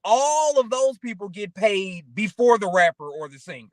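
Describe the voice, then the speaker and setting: A man with a notably high-pitched voice talks in a casual, motivational way. He rambles through anecdotes and keeps checking that the listener follows. The sound is dry and close, with very little room. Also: big pitch swings, normal speed, no echo. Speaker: talk show co-host.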